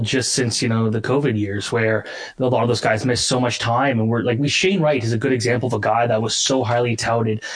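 A man giving casual sports analysis as an interview guest, often correcting himself as he goes. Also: mid-range voice. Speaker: hockey analyst, guest writer.

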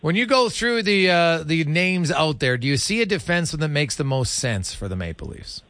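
A male sports host with a very bright, forward voice pitched a little high. He talks in a conversational interview style, and the sound is close and dry. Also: animated, normal speed, no room sound.

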